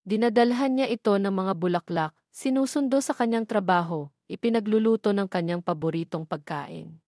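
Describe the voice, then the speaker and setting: A woman reading scripted dramatic narration of a love story in a measured, sentimental manner. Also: mid-range voice, darkish tone, no echo, studio quality.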